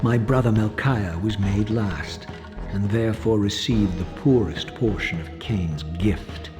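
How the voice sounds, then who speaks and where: Man voicing a proud, low-voiced undead vampire. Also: over music, lightly acted. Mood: bitter disdain. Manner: theatrical, measured, grandiose, disdainful. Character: proud, vengeful undead vampire narrator, male, bitter and brooding. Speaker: protagonist narrator. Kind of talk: dramatic scripted game narration.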